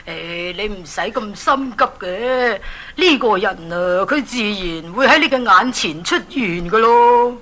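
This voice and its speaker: A bright-voiced, mysterious prophet. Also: female voice, medium pitch, expressive. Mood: reassuring, cryptic. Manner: hesitant, drawn-out, knowing, ceremonious. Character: mysterious all-knowing prophet, unhurried and deferential. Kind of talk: Cantonese children's radio drama acting.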